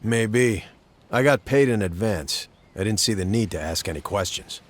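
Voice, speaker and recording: A man's voice: fairly low pitch, lively intonation, normal speed. A man playing a world-weary, blunt monster-hunting mercenary in a fantasy game, laconic and matter-of-fact. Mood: curt. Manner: laconic, matter-of-fact, clipped colloquial phrasing. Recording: background music under the dialogue.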